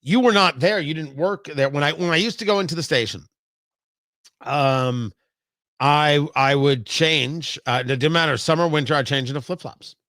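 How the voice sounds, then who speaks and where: Bright, forceful male live-stream host. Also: a little high, normal speed, no room sound, studio quality. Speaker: talk show host. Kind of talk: casual live-stream talk with viewers.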